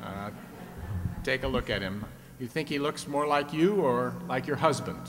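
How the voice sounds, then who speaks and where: A man's voice: mid-range voice, normal speed, a bit bright, lightly acted. Man playing a teasing radio host in an echoey hall. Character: genial, teasing male radio game show host. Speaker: game show host. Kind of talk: 1950s radio audience show hosting. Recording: echoey hall, clear background noise.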